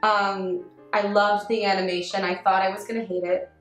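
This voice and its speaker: Expressive woman in an echoey room. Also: medium pitch, moderate pace. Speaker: review show panelist. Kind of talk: casual fan review discussion. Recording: roomy echo, faint background music.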